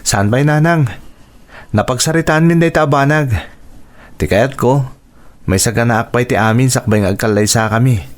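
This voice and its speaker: A man's voice: medium pitch, moderate pace, long pauses, close to an everyday voice. A man playing an earnest, dutiful young son and sweetheart in a radio drama, speaking sincerely.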